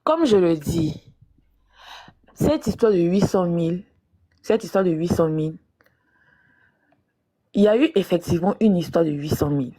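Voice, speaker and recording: A woman with a low, mellow voice speaks as a guest on a live stream. She tells her story haltingly, restarting and repeating phrases as she goes. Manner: hesitant, restarts and repeats herself. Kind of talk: live-stream interview testimony.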